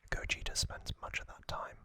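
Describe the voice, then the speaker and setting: A man speaking entirely in a breathy, unvoiced whisper, telling a story in halting short phrases as an ASMR host. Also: pushed and bright, no room sound.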